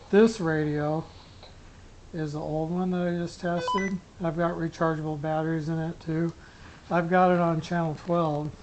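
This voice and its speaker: A hobbyist talks through a radio setup in a casual, unscripted way. The voice sits at a middling pitch, and the explanations ramble and halt. Clear background noise and clicks run through the recording. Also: a little mellow, poor audio. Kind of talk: casual unscripted gear demo.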